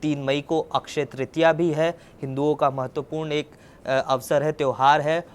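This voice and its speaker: A man's voice: a little high, little pitch movement, normal speed, clean tone. A man presenting a news report, explaining as he goes and often restating his points.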